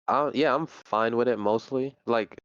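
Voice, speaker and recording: A man's voice: mid-range voice, a little slow, a bit bright, clean tone, no room sound, highs cut off. A young man co-hosting a casual anime discussion stream, laid-back and slangy with dry humor. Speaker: stream co-host.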